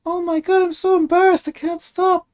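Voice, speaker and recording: Casting: a man as a sweet girlish game healer, squeaky, gushing and bashful. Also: level tone, normal speed. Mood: embarrassed.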